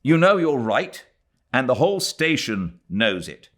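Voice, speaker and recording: A man's voice: medium pitch, big pitch swings, measured pace, a bit bright, pitched above the performer's own voice. A man voicing a pompous young English colonial official in a novel, officious, curt and impatient. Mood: firm, curt.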